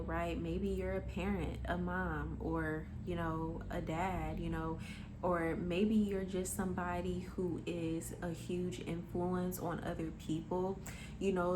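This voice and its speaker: A woman's voice: on the low side, noisy background. A woman in her twenties giving a casual motivational monologue, candid and emphatic, swearing freely and calling the listener honey. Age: 20s. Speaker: spiritual vlogger.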